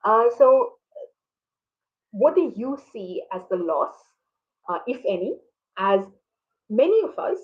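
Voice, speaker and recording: A woman's voice: fairly high pitch, sing-song, takes it slowly, pauses often. Reflective woman on a muffled call. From India. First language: Tamil. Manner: reflective, many ums and restarts. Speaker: moderator. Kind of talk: online book conversation. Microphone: video call. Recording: dull lo-fi video call audio.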